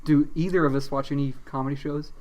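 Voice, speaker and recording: A man co-hosting a comedy podcast, chatting casually with dry, deadpan humor. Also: a little high, fast talker. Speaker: podcast co-host.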